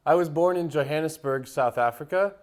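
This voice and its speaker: A man raised in the United States answers interview questions in a thoughtful, unhurried way, with many fillers and restarts. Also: a little high. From United States (Seattle). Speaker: interviewee, musician.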